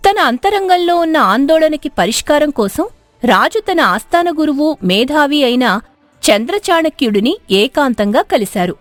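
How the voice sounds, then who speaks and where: A female storyteller with a high, very lively voice reads scripted narration. The sound is dry and close. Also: moderate pace, no room sound, faint music behind.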